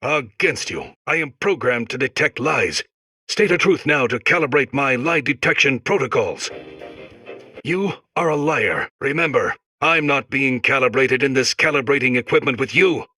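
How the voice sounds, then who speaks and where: A man's voice: mid-range voice, expressive, normal speed, sharp tone, no echo, faint music behind. A man playing an officious, suspicious robot investigator in a video game, speaking like a clipped, procedural, hard-boiled interrogator. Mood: stern, accusing. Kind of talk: video game character acting.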